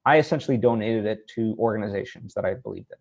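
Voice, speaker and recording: A man hosting a casual interview, speaking in an earnest, hesitant way with halting phrasing. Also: fairly low pitch, muffled recording. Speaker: interview host. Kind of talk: casual one-on-one interview conversation.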